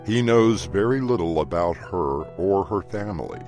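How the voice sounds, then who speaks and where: A man playing the storyteller host of a horror audio drama, narrating in a deliberate, theatrical, ominous way. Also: low-pitched, animated, darkish tone, soft background music. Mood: measured, ominous.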